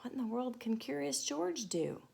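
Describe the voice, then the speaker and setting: A woman storytime teacher reading a picture book to children, expressive and deliberate, with chatty asides and questions. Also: a little high, vocal fry, slight background noise.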